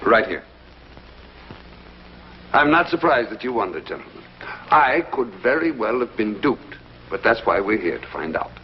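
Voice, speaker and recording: Expressive government secretary, dull vintage soundtrack. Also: male voice, lowish voice, slight background noise. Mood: formal, measured. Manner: measured, formal, deliberate questioning. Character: authoritative, inquisitive male government secretary leading a demonstration. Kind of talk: mid-century American television drama acting.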